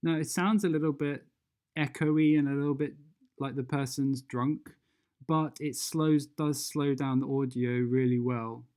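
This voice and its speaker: An unhurried tutorial presenter with a soft, mellow tone gives an unscripted software walkthrough. The delivery is fairly flat in pitch and hesitant, as the steps are worked out aloud. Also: clean tone. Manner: hesitant, thinking aloud while demonstrating.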